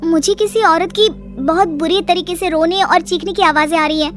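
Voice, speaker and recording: A woman performs a young granddaughter in a cartoon horror tale. Her voice sits very high, pleading and insistent. She questions like a frightened girl, with faint music behind her. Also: fairly even intonation, moderate pace. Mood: anxious.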